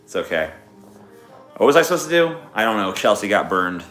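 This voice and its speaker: A man's voice: on the low side, big pitch swings, fairly quick, fairly bright tone. A man hosting a casual stream, chatting off the cuff in a rambling, profane way. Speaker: streamer host. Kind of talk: casual improvised chat. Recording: roomy echo, music in background.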